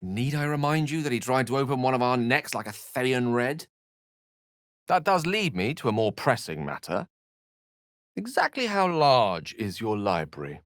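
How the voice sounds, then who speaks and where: A man's voice: mid-range voice, sing-song, normal speed, a bit bright, no echo, clean studio sound. A man voicing an eloquent, wry, learned wizard for a video game, speaking in an articulate, wordy, theatrical manner. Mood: thoughtful. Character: eloquent, learned adult male human wizard, wry and courteous. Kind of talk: video game character voice lines.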